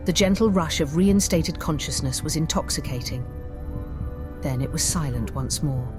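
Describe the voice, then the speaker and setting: A woman storyteller reading scripted fantasy narration in a measured, theatrical way. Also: sits low. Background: music bed.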